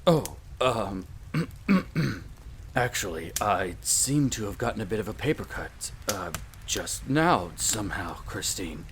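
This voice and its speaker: A man playing a courteous gentleman of a vampire castle, with polite, formal, old-fashioned phrasing, in a scripted roleplay drama. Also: lowish voice, big pitch swings, takes it slowly, exaggerated voice. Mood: hesitant. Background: ambience, crackling fire.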